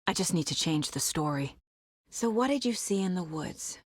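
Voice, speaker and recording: Serious fbi agent lady from a horror game, bit husky, super dry studio sound, focused and kinda talking to herself. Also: lowish voice, lively intonation, normal speed, no echo. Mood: serious, questioning. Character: determined, level-headed female FBI agent investigating supernatural horror. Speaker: video game voice actress. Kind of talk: dramatic video game voice lines.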